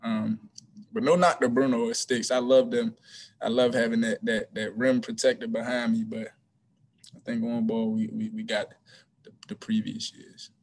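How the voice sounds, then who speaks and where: A young man, a college basketball player, answering press questions after a game over a video call, relaxed and hesitant with many ums and restarts. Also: medium pitch, normal speed, slight background noise. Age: young adult. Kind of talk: postgame press conference answers. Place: small room before a team backdrop. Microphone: video call webcam.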